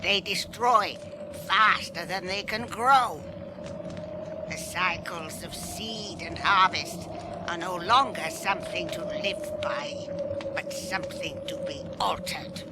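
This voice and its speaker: An actor playing a knowing, scornful raven prophet in a slow, solemn radio drama style. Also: medium pitch, close to an everyday voice. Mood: grim foreboding. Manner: slow, solemn, deliberate delivery. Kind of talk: 1980s radio drama acting. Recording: noisy archive radio broadcast.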